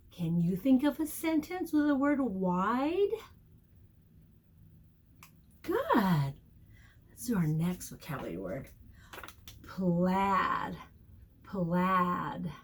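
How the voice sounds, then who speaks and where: A woman teacher leading a storytime and vocabulary lesson for young children, speaking expressively and encouragingly, with questions to her listeners and key words stretched out. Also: mid-range voice. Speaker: preschool teacher reading a picture book aloud.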